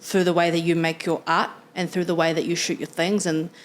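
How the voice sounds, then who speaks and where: A young woman hosting a podcast interview, chatty and animated in her replies. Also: fairly low pitch, a little fast. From New Zealand. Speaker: podcast host. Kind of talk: casual interview chat.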